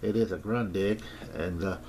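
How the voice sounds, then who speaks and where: An American man in his sixties giving a casual, unscripted hobby demonstration in a halting, rambling, repetitive way. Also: deep voice, a little mellow. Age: 60s. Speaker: amateur radio hobbyist presenting his gear. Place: small indoor radio room at home. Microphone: camera mic, tripod then handheld. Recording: roomy echo, background noise, camcorder.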